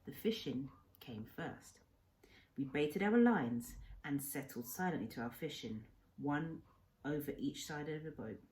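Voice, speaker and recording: A woman teacher reading a children's novel aloud as narrator, in a measured, expressive way. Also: low voice.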